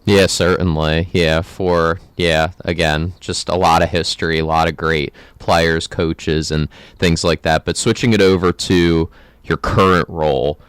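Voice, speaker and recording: A man's voice: low voice, fairly even intonation, a little slow, clear, resonant tone, no room sound. A young American man interviewing a guest in a conversational sports radio style. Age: young adult.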